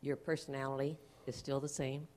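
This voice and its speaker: A woman from the audience with a loud voice, earnestly thanking the speaker. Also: a bass voice, flat delivery, a little fast, slight background noise. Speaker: audience member.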